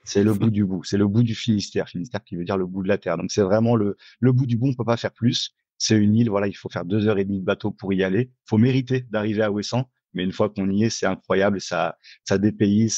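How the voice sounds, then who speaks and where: A man's voice: on the low side, a little mellow, no echo, muffled recording. A man, introducing himself casually as a podcast guest. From Paris, France. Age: 20s. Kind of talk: casual interview self-introduction.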